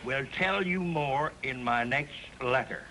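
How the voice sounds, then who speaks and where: Bright-voiced, blustering old prospector. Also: male voice, medium pitch, slow, slight background noise, low-quality recording. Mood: boastful. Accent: American. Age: older. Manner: folksy, blustering drawl. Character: boastful, excitable old prospector grandfather of the Old West. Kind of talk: 1950s television western acting. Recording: old TV soundtrack with background music.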